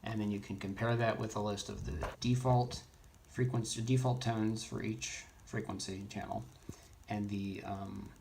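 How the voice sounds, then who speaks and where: A man giving an unscripted how-to tutorial in a halting way, thinking aloud as he explains each step. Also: lowish voice, steady tone, creaky, a little mellow. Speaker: instructor in a radio how-to tutorial. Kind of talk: unscripted screen-demo tutorial. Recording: noisy, keyboard and mouse clicks. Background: noise, typing and clicking.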